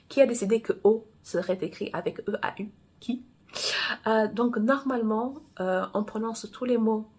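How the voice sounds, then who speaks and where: Author-vlogger from Angola, native Portuguese speaker; gravelly, mid-pitched woman who rambles and self-corrects. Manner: rambling, often restarts and corrects herself. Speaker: romance author. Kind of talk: casual personal monologue.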